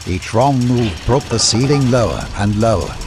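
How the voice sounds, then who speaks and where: A man's voice: mid-range voice, animated, normal speed, noisy background. A man reading scripted fiction as a narrator, in dramatic audiobook style.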